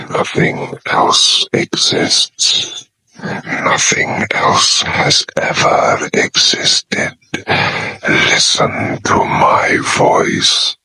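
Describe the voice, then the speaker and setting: A man playing a sinister hypnotist villain in an audio drama, with a breathy whisper and a slow, commanding, repetitive delivery. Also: very high voice, sharp tone, heavily acted, poor audio.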